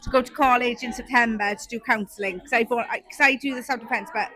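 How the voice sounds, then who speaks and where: A woman's voice: on the high side, steady tone, fast talker, sharp tone, low-quality recording. A woman hosting a casual podcast interview, bubbly and chatty with frequent giggles. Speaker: podcast host. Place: community event.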